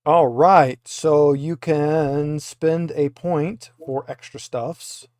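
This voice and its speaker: Higher-voiced man running a tabletop game. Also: steady tone, extremely slow, a little mellow, dry recording, clean studio sound. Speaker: game master. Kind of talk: casual improvised tabletop game narration.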